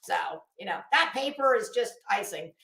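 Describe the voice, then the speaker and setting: A woman's voice: on the high side, lively intonation, moderate pace, creaky, pushed and bright. A middle-aged woman giving an unscripted, rambling commentary rant in a sardonic, scornful, sweary manner. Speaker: online commentary vlogger. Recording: echoey room.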